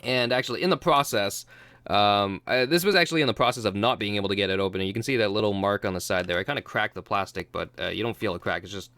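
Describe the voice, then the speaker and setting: A man's voice: lowish voice, sharp tone, no room sound. A young man with a cold, sounding nasal and congested, rambling casually through a product unboxing with many ums and restarts. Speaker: YouTube reviewer.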